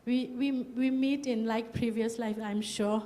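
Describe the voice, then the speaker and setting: Soft-toned woman sharing her story. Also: fairly high pitch, steady tone. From Burma. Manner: halting, many fillers and "you know". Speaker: retreat participant. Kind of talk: personal testimony.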